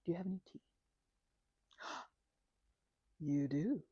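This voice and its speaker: A woman's voice: low voice, slow, mellow, husky, no echo, dull audio. A woman doing a casual ASMR roleplay as a chatty, scatterbrained best friend, rambling playfully from one tangent to the next.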